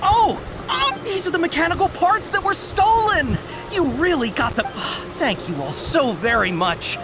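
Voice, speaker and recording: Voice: extremely high pitch, expressive, moderate pace, music in the background. An actor playing a grateful, generous and easily flustered shopkeeper in an anime-style video game, effusive and exclamatory. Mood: delighted, grateful.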